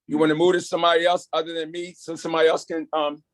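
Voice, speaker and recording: A man's voice: a little high, level tone, rapid-fire, no room sound. A man taking part in an online script read, chatting casually. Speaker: participant in a table read. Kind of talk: casual chat. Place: online video call.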